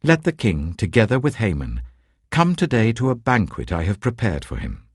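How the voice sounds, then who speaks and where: A British man voicing a brave, earnest queen in an audiobook, speaking formally and deliberately. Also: lowish voice, wildly swooping pitch, husky, no room sound, clean studio sound, pitched above the performer's own voice, exaggerated voice. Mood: courteous pleading. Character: brave, resolute young queen, earnest and courteous.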